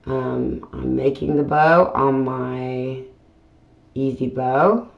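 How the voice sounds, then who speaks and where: A woman hosting a craft channel, talking through a tutorial in a casual voiceover with plain, unhurried step-by-step explaining. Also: extremely low pitch, steady tone, gravelly, lo-fi sound. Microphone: voiceover mic.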